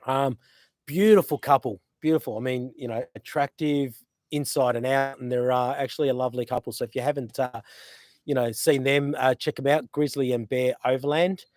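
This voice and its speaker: An Australian man hosting a casual live stream outdoors, rambling with lots of fillers and gentle teasing. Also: mid-range voice, fairly even intonation, a little fast, no echo, studio quality. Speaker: host. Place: outdoors by a river.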